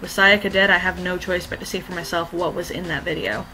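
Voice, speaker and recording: A woman with a slightly hoarse voice, voicing an earnest, easily flustered teenage boy student. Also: lowish voice, steady tone, quick pace, soft background music, rough recording. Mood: puzzled. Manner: reads game text aloud in a plain, bright tone.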